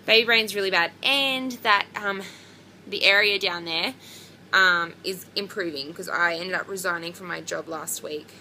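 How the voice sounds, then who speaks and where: A young woman talks to camera in a loose, rambling vlog, full of ums and likes. Her voice is low-pitched and bright, with a gravelly fry running through it. A faint hiss of background noise sits under the recording. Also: normal speed, low-quality recording. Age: 20s. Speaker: pregnancy vlogger. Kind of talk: casual chat to camera.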